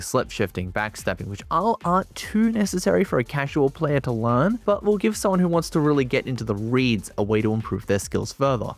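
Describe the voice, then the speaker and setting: A man reading a scripted video game review as a voice-over, with colloquial, wry and opinionated phrasing. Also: medium pitch. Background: music behind the voice.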